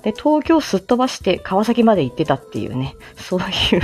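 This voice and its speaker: A woman's voice: mid-range voice, big pitch swings, a little fast, darkish tone, soft background music. A woman from Japan chatting casually as a livestream host, in a giggly, self-deprecating manner. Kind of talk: casual morning livestream chat.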